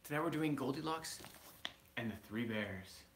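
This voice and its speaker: Low-voiced dad reading a storybook aloud. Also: male voice, animated, moderate pace, fairly bright tone, a little hiss or hum. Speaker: father reading aloud to child. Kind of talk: casual storybook read-aloud.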